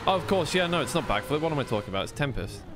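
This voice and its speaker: A man's voice: on the high side, big pitch swings, very fast. A man giving live esports racing commentary in a conversational way, often second-guessing himself. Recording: clear background noise of vehicle sounds.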